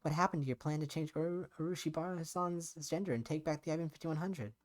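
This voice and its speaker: Man as young woman, raised pitch, earnest, blunt but caring, insistent questions, visual novel dialogue. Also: fast, close to an everyday voice. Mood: urgent, questioning.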